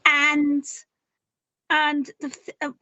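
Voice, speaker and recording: A middle-aged woman with an English accent talks freely about her work, stopping and restarting mid-sentence. Her voice sits high, and the close mic gives a dry sound with little room around it. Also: completely flat delivery, very slow, pushed and bright, dry recording, lo-fi sound. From England. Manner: chatty, rambling with restarts and small laughs. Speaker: author and puzzle writer. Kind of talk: casual interview answers.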